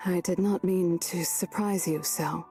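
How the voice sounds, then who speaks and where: A woman plays a mysterious maiden from a visual novel, reserved and probing. Her voice is dark, soft-edged and on the low side, with cool, formal directness, over faint music. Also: fairly even intonation, measured pace, natural voice. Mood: polite, reserved.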